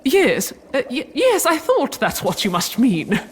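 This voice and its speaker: A woman playing a brisk, blunt and gullible queen in a comic audio drama, regal and exclamatory. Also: high-pitched, huge pitch swings, slight background noise, heavily acted. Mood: cheerful, naive.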